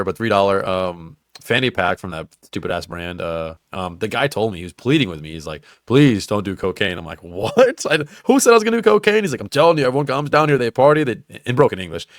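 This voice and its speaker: A man's voice: on the low side, dry recording, clean studio sound. A young man co-hosting a podcast, swearing freely and teasing his friend, laughing often. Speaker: podcast co-host. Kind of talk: casual crude banter.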